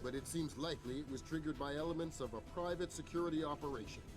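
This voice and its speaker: An actor playing a measured intelligence chief who briefs the president in a game cutscene. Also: male voice, on the high side, lightly acted. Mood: grave. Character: calm measured male intelligence chief, middle-aged. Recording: game soundtrack with clear music.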